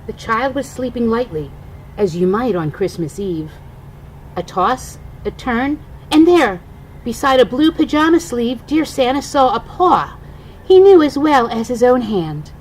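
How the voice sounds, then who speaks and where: A woman's voice: on the high side, animated, a little slow. Older woman reading a storybook, noisy recording. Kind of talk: read-aloud children's storybook narration.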